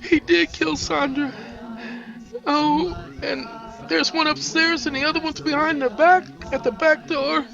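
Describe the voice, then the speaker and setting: A man's voice: very high voice, level tone, measured pace, gravelly, lo-fi sound, pitched above the performer's own voice, exaggerated voice. A man voicing a frightened young woman, pleading with urgency in an acted drama. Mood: terrified, tearful.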